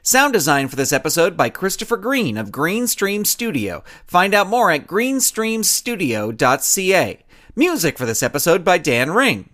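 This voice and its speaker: A man's voice: high-pitched, big pitch swings, moderate pace, fairly bright tone. A man reading scripted credits and promos as the upbeat announcer of a children's podcast. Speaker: show announcer.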